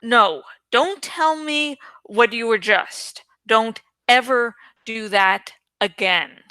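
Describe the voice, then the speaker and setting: A woman's voice: fairly high pitch, slow pace, pushed and bright, no room sound. A woman voicing a stern but caring teenage girl in a novel, with a firm, admonishing manner.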